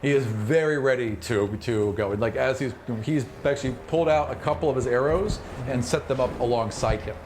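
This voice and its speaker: A man with a mid-pitched voice narrates a tabletop fantasy game as its game master. He is casual and animated, throwing in acted reactions and sound effects. The recording is clearly noisy. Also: a little fast, few pauses.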